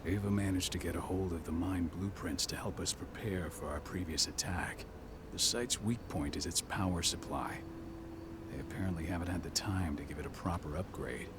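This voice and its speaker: An actor playing a stern, bitter werewolf pack leader in a video game, gruff, blunt and authoritative. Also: male voice, normal speed, close to an everyday voice. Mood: gruff, practical. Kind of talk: video game cutscene acting. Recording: loud ambient rumble behind.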